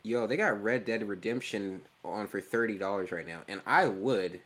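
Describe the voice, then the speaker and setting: A man's voice: on the low side, normal speed, fairly bright tone. A young man co-hosting a casual podcast, joking and laughing easily as he chats. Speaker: podcast co-host. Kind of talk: casual chat.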